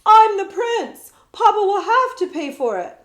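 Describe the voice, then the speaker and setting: A woman's voice: extremely high pitch, very animated, unhurried, voice pushed up, full character voice. A woman voicing a spoiled, haughty boy prince who whines and boasts, recorded in an echoey room. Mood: indignant. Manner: haughty and whiny.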